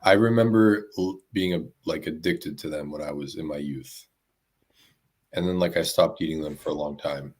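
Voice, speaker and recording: Low-voiced male co-host with easy, teasing banter. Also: normal speed, darkish tone. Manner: teasing, laid-back banter. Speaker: podcast co-host. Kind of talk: casual live podcast chat.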